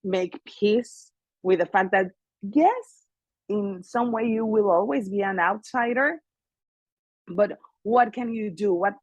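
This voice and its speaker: A woman from Mexico with a Mexican Spanish accent, speaking English as a second language, chatting in an interview in an animated, earnest way with frequent laughter. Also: medium pitch, takes it slowly, dry recording, lo-fi sound. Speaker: guest singer and actress. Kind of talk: casual interview chat.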